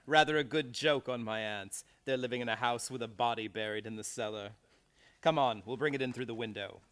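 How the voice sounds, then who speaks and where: An actor playing a menacing, domineering criminal nephew with formal, commanding speech, in an old-time radio play. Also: male voice, mid-range voice, brisk, fairly bright tone, clear, resonant tone, close to an everyday voice. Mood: sardonic.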